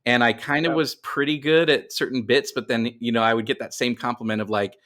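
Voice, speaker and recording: A man's voice: mid-range voice, fairly even intonation, fairly quick, fairly bright tone. An American man in his forties telling stories casually as an interview guest, in a rambling, self-deprecating way. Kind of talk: casual conversational storytelling.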